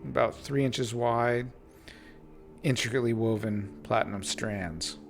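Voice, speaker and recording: A man narrating a tabletop game as game master, improvising in halting phrases with pauses mid-sentence. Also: mid-range voice, creaky, faint music behind.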